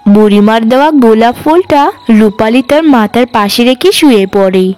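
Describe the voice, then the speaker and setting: A woman's voice: on the high side, lively intonation, moderate pace, darkish tone, faint music behind. A woman narrator reading a scripted story.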